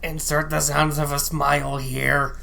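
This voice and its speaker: A woman co-hosting a podcast, making announcements and asides in a deadpan manner. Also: low voice, unhurried, gravelly, a little hiss or hum.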